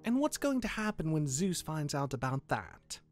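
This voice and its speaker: Man acting sardonic immortal demigod, animated voice. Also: a little high, soft background music. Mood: defiant, sardonic. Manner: sarcastic, halting when flustered. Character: sardonic, awkward immortal demigod older brother. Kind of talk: fantasy game roleplay, semi-improvised.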